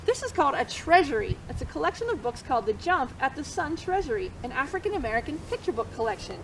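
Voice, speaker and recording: A woman's voice: sits high, very animated, fast talker, a little hiss or hum, rough recording. A woman reading a picture book aloud to children as storytime host, encouraging listeners and chanting along with them. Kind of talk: interactive read-aloud for children.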